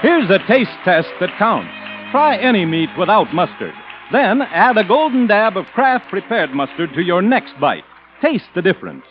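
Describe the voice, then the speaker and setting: A man's voice: sits high, big pitch swings, moderate pace, faint music behind. A man reading a scripted advertisement in 1940s radio announcer style. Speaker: commercial announcer. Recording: muffled vintage radio recording.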